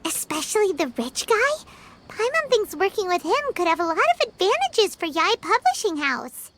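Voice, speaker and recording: A woman voicing a tiny, excitable fairy companion girl with a squeaky, childlike voice, blunt and curious, in a video game. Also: normal speed, noisy background. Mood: questioning. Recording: music playing behind the voice.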